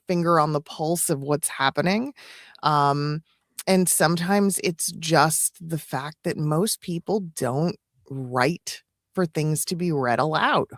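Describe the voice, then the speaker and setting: A woman co-hosting and coaching, explaining things in a measured, casual discussion style. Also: on the low side, no echo, studio quality. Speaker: co-host and voice-over coach.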